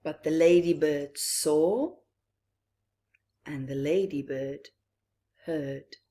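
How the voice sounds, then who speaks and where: A woman reading a picture book aloud to children, deliberate and expressive, with long pauses and small asides. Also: low voice. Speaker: children's storytime reader.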